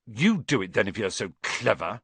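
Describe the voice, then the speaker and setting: Man doing a sulky schoolboy, grumpy, scornful, lively pitch, dry sound. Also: normal speed, breathy edge, dry recording, exaggerated voice.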